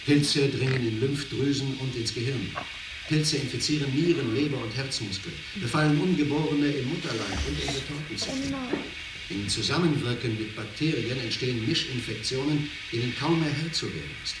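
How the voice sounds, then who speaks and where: A man's voice: medium pitch, animated, moderate pace, natural voice. A man playing a grave, urgent field reporter delivering a formal dramatic report in a science fiction radio drama. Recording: echoey, distant, noisy field broadcast.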